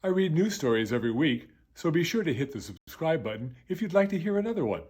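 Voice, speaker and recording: A man in his fifties, an elementary school teacher, reading a children's story aloud in a gentle, lively way. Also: a little high, quick pace, husky. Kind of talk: children's read-aloud narration.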